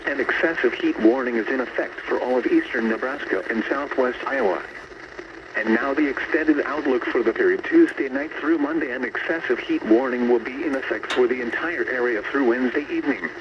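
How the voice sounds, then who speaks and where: Noisy dull weather radio, robotic tts guy reading a formal weather bulletin, measured delivery. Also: mid-range voice. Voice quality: synthetic text-to-speech voice. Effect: weather radio broadcast. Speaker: automated weather radio announcer. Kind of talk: scripted weather bulletin narration. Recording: noisy, muffled long-distance radio reception.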